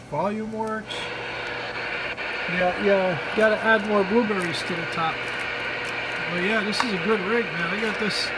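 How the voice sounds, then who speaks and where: A man giving a casual, joking product demonstration, talking in folksy slang and repeating himself with mock earnestness. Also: high voice. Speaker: hobbyist video presenter. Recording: noisy recording with steady background hum.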